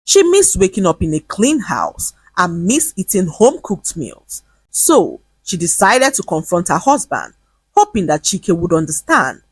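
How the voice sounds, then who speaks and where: Husky, lively woman storyteller. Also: on the low side, unhurried, no echo. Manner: lively, comic, expressive. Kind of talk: humorous moral story narration.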